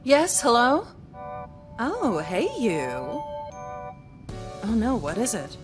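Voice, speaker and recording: A curt, neglectful mother in a visual novel game. Her voice sits high and swings widely in pitch as she speaks, over clear background music. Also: sing-song, slow. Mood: distracted, flirty.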